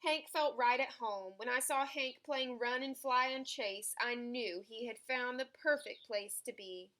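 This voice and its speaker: Bright, higher-pitched woman reading a storybook. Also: unhurried. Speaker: storybook reader. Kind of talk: expressive children's picture book read-aloud.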